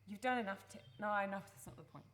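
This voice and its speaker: A woman playing a worried, guilt-ridden adult daughter in a radio play, protective and earnest, speaking haltingly. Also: medium pitch, fairly bright tone, close to an everyday voice. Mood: flustered, apologetic.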